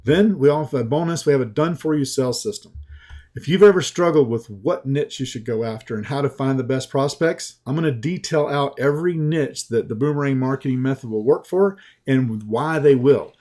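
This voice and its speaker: Southern US man, sales coach type, mellow unhurried webinar pitch with a folksy feel. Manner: slow, folksy, conversational with restarts.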